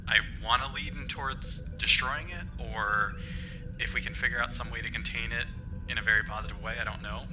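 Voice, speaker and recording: A man's voice: on the low side, poor audio. Hesitant man as a starship engineer. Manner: hesitant, thinking aloud. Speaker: player voicing the ship's engineer. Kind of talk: in-character play.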